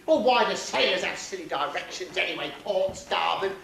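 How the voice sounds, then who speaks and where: Performer acting a bright, mocking pantomime castaway, echoey stage. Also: medium pitch, animated, normal speed, vocal fry. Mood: bickering. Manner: teasing, argumentative. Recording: echoey stage hall, distant mic, faint noise.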